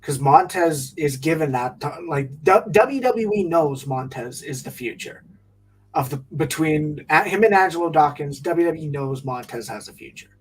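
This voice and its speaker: A man's voice: on the high side, expressive, moderate pace, far from the mic, a little hiss or hum, low-quality recording. A man co-hosting a podcast who argues his point and often trips over his own words. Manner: argumentative, stumbles over words. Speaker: podcast co-host. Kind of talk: casual podcast chat.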